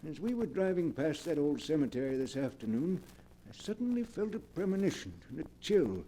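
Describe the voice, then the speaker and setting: An actor playing a proud, shady professor who fakes ghosts for hire, in theatrical, measured 1940s radio mystery style. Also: male voice, on the high side, sing-song, dark tone, a little hiss or hum, low-quality recording. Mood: uneasy.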